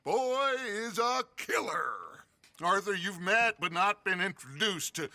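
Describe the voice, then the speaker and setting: An actor playing a grandiose, smooth-talking middle-aged outlaw leader in a video game cutscene, speaking with flourish. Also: male voice, high-pitched, lively intonation, a little slow, sharp tone, no echo, heavily acted. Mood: charming. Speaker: gang leader.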